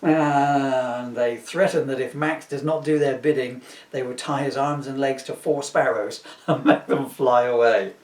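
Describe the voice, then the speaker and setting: A man's voice: mid-range voice, fairly even intonation, unhurried, a little mellow. A middle-aged man chatting informally and reading from his own children's book, with jokey, rambling asides. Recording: roomy echo, distant microphone.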